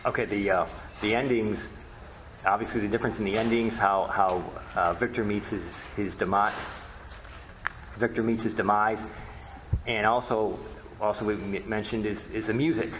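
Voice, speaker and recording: Guy doing a history talk, kinda deep, stumbles and restarts a lot, roomy echo. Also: steady tone, fairly bright tone. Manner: halting, repeats and rephrases words. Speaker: presenter, media researcher. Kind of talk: informal history lecture. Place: indoor presentation room. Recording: echoey room, faint background noise.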